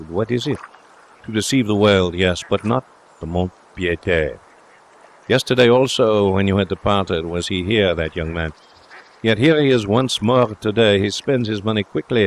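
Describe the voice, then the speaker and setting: A man's voice: low voice, slight background noise. Man playing a lamenting pawnshop official. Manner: theatrical, lamenting, rhetorical. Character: sighing, talkative French male pawnshop official, world-weary and sympathetic.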